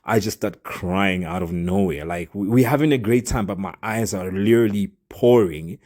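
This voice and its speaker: A young man from Zambia telling his own life story in a casual, earnest and open way, with animated emphasis. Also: sits low, moderate pace.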